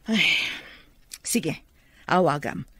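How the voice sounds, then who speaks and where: A woman's voice: low voice, animated, drawn-out words, fairly bright tone, husky, no echo, heavily acted. An actress playing a scheming, bossy middle-aged mother in an Ilocano radio comedy drama, scornful and commanding. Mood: bossy, conniving. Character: scheming, bossy mother egging on her daughter.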